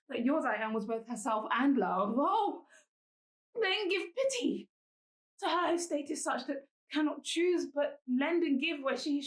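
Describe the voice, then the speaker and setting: A woman playing a humble, lovesick young woman who pleads earnestly and with passion in a Shakespearean stage monologue. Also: fairly high pitch. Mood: anguished pleading. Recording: echoey room.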